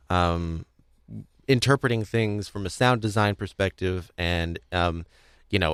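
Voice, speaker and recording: Low-voiced young man, rambling podcast chat. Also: fairly bright tone, dry recording, clean studio sound. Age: young adult. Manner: rambling, hedging, wordy. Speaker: podcast host. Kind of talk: casual chat about audio drama craft.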